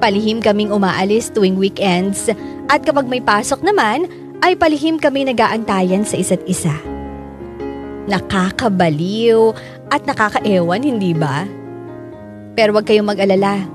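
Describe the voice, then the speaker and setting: A woman's voice: medium pitch, lively intonation, normal speed, pauses often, natural voice. A woman playing a conflicted, lovestruck teacher who narrates her confession in a radio drama. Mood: reflective, confessional. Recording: music behind the voice.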